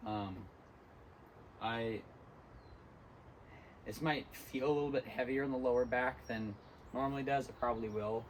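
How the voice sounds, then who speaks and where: A tired, hoarse young man talking casually between lifts in a gym, rambling with many ums. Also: mid-range voice, fairly even intonation, measured pace, long pauses, slight background noise. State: hoarse, lost voice from illness. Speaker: fitness vlogger. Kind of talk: casual gym vlog talk.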